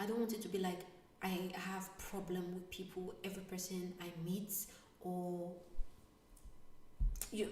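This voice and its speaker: A woman vlogger telling a personal story in a casual, animated way, sometimes dropping to a whisper. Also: mid-range voice, recorded from a distance. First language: not Italian. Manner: animated, drops to a whisper for asides. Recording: echoey room, voice away from mic.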